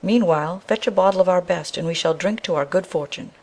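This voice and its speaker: A woman's voice: fairly low pitch, fairly quick, a little mellow. A woman voicing a simple, hasty woodcutter husband from a storybook, exclaiming in old-fashioned phrasing. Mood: contented.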